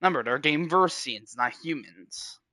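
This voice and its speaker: A man with a fairly high, bright and forceful voice talks casually to his stream chat. He rambles, restarts and corrects himself as he goes. The sound is dry and close. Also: lively intonation, normal speed, dry recording.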